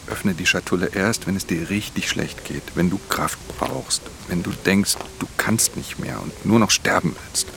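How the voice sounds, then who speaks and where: A man's voice: normal speed, few pauses, pushed and bright, slight background noise, heavily acted. An actor playing a weary, hard-edged thief in a radio drama, speaking in a terse, subdued way as he narrates and talks. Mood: subdued, earnest. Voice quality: very deep, gravelly. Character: weary, hard-edged male thief narrating his own crime story. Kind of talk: radio drama, first-person narration and dialogue.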